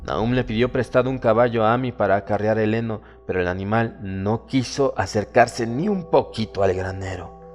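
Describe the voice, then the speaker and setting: A man narrating a horror audiobook in a solemn, measured, dramatic storytelling manner. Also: on the low side. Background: background music.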